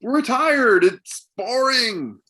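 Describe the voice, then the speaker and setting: A man's voice: very high-pitched, lively intonation, drawn-out words, sharp tone, heavily acted. A man playing an exasperated middle-aged dad with a dry, sarcastic manner in a comedy table read. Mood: dry, grumbling.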